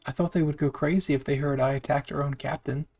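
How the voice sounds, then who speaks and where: Higher-pitched man reading a story. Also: fairly even intonation, quick pace, darkish tone, faint music behind. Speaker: fan fiction narrator. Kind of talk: scripted story reading, audio roleplay.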